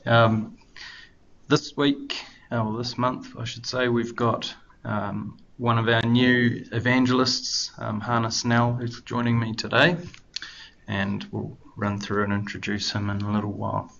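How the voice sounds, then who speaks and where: A man with a New Zealand accent opens a webinar in a relaxed, hesitant way. He speaks low and flat with a gravelly edge, over dull lo-fi audio with faint noise behind. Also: measured pace. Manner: casual, relaxed, hesitant with many fillers. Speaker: webinar host. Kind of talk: webinar introduction.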